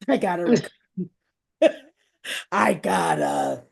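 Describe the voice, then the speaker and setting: An American woman hosting a conversational, faith-based interview, speaking in an effusive, rambling way with expressive asides. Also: on the low side, slow pace, creaky, slightly rough. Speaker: show host interviewing a guest.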